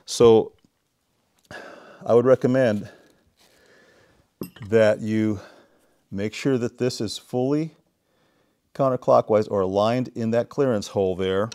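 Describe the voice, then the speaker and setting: A middle-aged man giving a casual, deliberate, step-by-step hands-on repair tutorial. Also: fairly low pitch, warm tone. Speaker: DIY repair demonstrator. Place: indoor home workshop.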